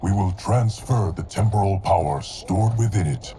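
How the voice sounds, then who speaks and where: An actor playing a stoic ancient male guardian, deep and measured in a game cutscene. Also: dark tone, slight background noise, close to an everyday voice. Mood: solemn. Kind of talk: video game cutscene acting. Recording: game audio with music behind.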